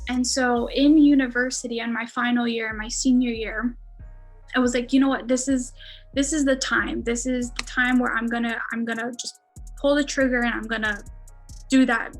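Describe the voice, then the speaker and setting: A woman's voice: a little high, fairly even intonation, normal speed, soft background music. A woman in her twenties, a native Armenian speaker, casually telling her story as a podcast guest, with lots of "like"s and laughs. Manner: chatty, many "like"s, laughs often.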